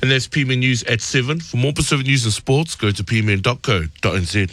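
A man reading a radio news bulletin in a measured way and chatting as the show's producer. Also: medium pitch, fairly bright tone, no echo. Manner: measured news delivery, friendly banter. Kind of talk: radio news bulletin and casual studio chat. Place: radio studio.

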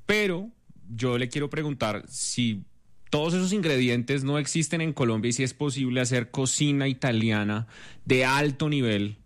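Man, interviewer, fluent, direct, mid-pitched. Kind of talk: interview question.

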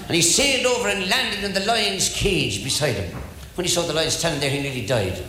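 A man's voice: on the high side, expressive, brisk, sharp tone. A man from Ireland with an Irish accent telling stand-up jokes as a storyteller, repeating phrases for effect. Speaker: stand-up comedian. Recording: echoey hall, faint background noise.

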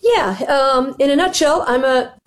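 A woman's voice: high voice, measured pace. A middle-aged woman from Lebanon, a doctor and ministry leader, chatting as a radio guest with many fillers and restarts. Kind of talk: casual interview chat.

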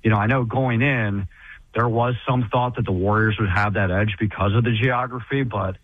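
Man, sports radio co-host, loud voice, analytical, dry tinny phone-like sound. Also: lowish voice, fairly even intonation, moderate pace, no room sound.

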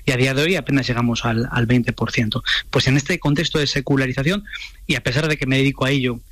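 Spanish guy from spain, academic expert on a radio show, explains things carefully, medium pitch, no room echo. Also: fairly even intonation, fast talker, fairly bright tone. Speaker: sociologist, university professor, guest expert. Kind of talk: expert analysis in a radio interview.

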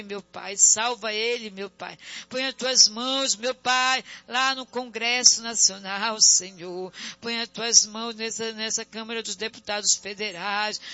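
A man from Brazil leads a fervent prayer in a very high, piercingly bright voice. He builds rhythmic chains of pleas and lets each call to the Lord sink low and quiet. The recording is dull and lo-fi. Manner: rhythmic repeated invocations, dropping low and soft on each "Lord". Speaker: prayer leader.

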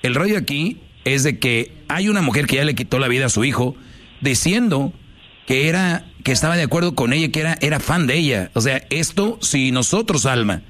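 A man's voice: medium pitch, animated, a little fast, fairly bright tone. A man hosting a call-in talk show, speaking informally in a blunt, confrontational and slangy way. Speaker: talk show host taking calls.

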